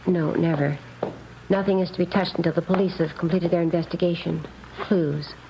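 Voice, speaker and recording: Actress as a prim, earnest nun in vintage radio drama. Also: female voice, fairly low pitch, fairly even intonation, moderate pace, lightly acted. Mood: prim, fussy. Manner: prim, proper, carefully enunciated. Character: earnest, precise, naive nun running a hospital pharmacy. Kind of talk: 1950s radio drama acting. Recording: dull old radio recording, faint noise.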